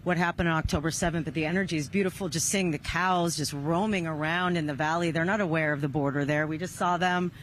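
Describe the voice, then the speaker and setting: Halting female TV interviewer outdoors, some background noise. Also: sits low, fairly even intonation. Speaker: TV news interviewer. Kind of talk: live on-location news interview. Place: outdoors near a rural kibbutz. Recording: noisy outdoor field recording.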